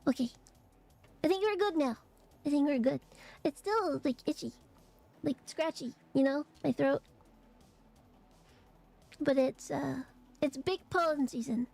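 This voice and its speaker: Raspy-throated young woman streamer, high voice. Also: a little slow, long pauses, darkish tone. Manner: rambling, chatty, many fillers and restarts. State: slightly hoarse from allergies. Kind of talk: casual chat to stream viewers.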